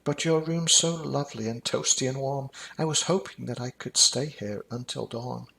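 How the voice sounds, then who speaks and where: A grandpa-style male reader plays a tiny, timid young mouse from a children's storybook. The voice is meek and pleading, with a creaky grain and a bright edge, speaking politely in rhyme. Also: mid-range voice, moderate pace, pitched above the performer's own voice. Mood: timid, hopeful. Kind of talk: rhyming children's storybook reading.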